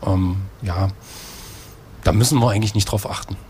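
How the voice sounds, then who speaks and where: A man's voice: fairly low pitch, normal speed, a little mellow, a little hiss or hum. A man, a school head, answering interview questions in a conversational way, explaining at length.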